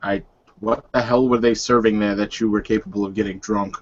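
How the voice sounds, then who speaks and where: A man playing a gruff, sardonic male werewolf warden in a tabletop game, with a dry delivery. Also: on the low side, steady tone, fairly quick, dull audio, close to an everyday voice.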